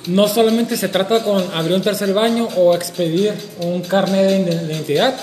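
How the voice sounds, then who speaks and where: A man's voice: high-pitched, steady tone, a little fast, darkish tone. Hesitant man in an echoey room. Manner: hesitant, searching for words, joking. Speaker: co-host. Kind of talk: casual panel discussion. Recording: echoey room with music behind.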